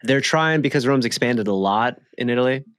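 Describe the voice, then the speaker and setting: Male podcast co-host, mid pitch, no room echo, emphatic casual banter with plenty of slang. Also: a little fast, a bit bright, studio quality. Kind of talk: casual chat.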